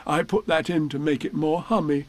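A man voicing a cheerful, muddled male bear with a slow, earnest way of talking. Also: on the high side, steady tone, pitched above the performer's own voice, natural voice.

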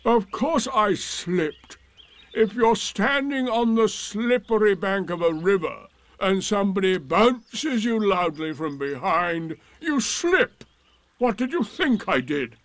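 Storybook donkey: old, gloomy, deadpan, ponderous, pitched high for a male voice. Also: takes it slowly, dry recording, heavily acted. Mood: aggrieved. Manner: gruff, ponderous, deadpan complaining. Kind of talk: storybook reading.

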